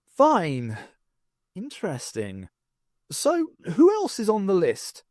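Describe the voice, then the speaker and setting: A sardonic adventuress, as a male reader puts her on, pitched high above his natural voice. She sounds dry and guarded, with a lively, quick-moving lilt. The recording is close and dead, with no room around it. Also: no room sound, exaggerated voice. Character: witty sardonic adventuress, adult woman. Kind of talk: fan audiobook character voice.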